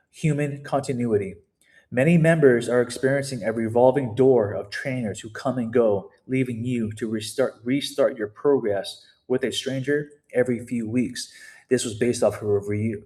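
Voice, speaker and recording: Canadian male coach, mid-pitched voice, loose digressive walkthrough addressed to viewers. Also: normal speed, darkish tone. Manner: conversational, digressive, talks to viewers directly. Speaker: fitness coach. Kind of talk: unscripted video walkthrough.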